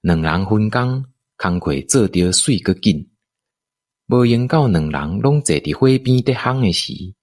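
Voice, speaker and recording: Taiwanese male narrator for radio drama: low pitch, very lively intonation, expressive storytelling, dry studio sound. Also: a little slow, no room sound.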